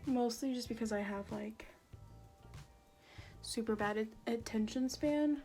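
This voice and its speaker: A young woman with a raspy, sore-throated voice, chatting casually and joking at her own expense while she does her makeup. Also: a little high, a little slow, dark tone, faint music behind. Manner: self-deprecating, jokey, rambling. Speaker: beauty vlogger.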